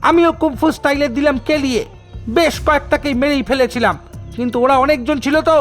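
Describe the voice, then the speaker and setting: A boastful, sing-song cartoon ghost who brags about kung-fu fights, played by a man. The voice sits high and bouncy, tossing in nonsense syllables, with music in the background. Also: fairly quick. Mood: excited. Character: boastful foreign male ghost, kung-fu tea seller. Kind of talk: cartoon voice acting.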